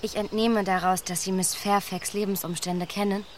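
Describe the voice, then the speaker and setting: A woman's voice: medium pitch, steady tone, normal speed, a little hiss or hum, natural voice. An actress playing a clever young lady in a radio play, probing and ironic in her questions.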